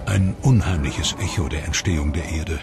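A man giving measured, explanatory scripted voice-over narration for a documentary. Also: rumbling low. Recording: voice-over with music behind.

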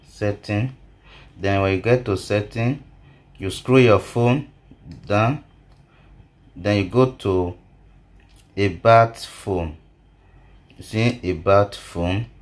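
A man narrating a step-by-step phone tutorial as a video host, halting and repeating himself. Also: sits low. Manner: halting, repetitive delivery.

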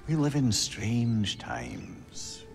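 An actor playing a calm, kindly companion in a video game, soothing in manner. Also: male voice, mid-range voice, big-room sound, faint music behind. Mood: reassuring. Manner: slow, soothing.

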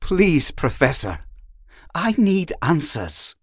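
A man's voice: on the high side, very animated, takes it slowly, far from the mic. A man playing an earnest, troubled young mutant hero in an audio drama. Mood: earnest, pleading.

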